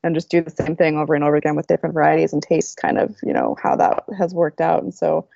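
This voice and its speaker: A woman chatting as a podcast guest, talkative and quick to laugh, heard over dull lo-fi audio. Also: low voice, flat delivery, vocal fry, warm tone, no room sound. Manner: chatty, restarts, laughs easily. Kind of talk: casual interview chat.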